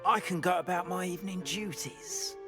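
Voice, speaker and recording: An actor playing an anxious, theatrical school caretaker in a fantasy game, fussy and hesitant, with old-fashioned wordy speech. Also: male voice, high-pitched, normal speed, over music, close to an everyday voice. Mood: flustered, eager. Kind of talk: video game character dialogue.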